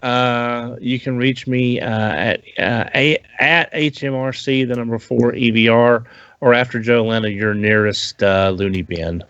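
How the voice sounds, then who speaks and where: A man co-hosting a podcast, chatting casually in a halting way over a dull lo-fi connection. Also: mid-range voice, steady tone, a bit bright, smooth, dry recording. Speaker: podcast co-host. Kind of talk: casual chat.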